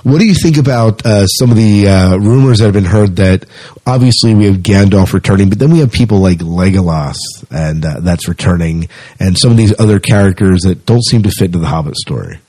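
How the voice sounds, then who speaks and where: A man's voice: sits low, fairly quick, dark tone, rough recording. A man co-hosting a podcast, chatting casually through entertainment news. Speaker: podcast co-host. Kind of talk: casual chat about sci-fi movie news.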